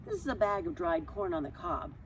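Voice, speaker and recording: A woman's voice: lowish voice, big pitch swings, brisk, muffled recording, a little hiss or hum. A woman voicing an emphatic, exclamatory male sailor from a children's storybook. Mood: confident.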